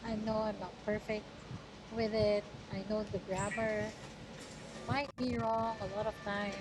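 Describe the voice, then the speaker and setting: A woman from the Philippines speaks English with a Batangas Tagalog accent, her first language being Tagalog. She talks in a chatty, explaining way in a middle register. The voice call carries a clear layer of background noise. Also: mid-range voice, level tone, a little slow. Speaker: girlfriend on a call. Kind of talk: casual chat.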